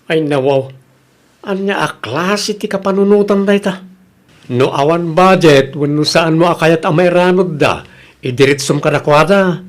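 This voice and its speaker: A frank middle-aged Ilocano husband played by a man in a radio comedy-drama. His voice sits a little high for a man, and he grumbles and scolds bluntly in a Filipino accent. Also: moderate pace, lightly acted. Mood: scolding.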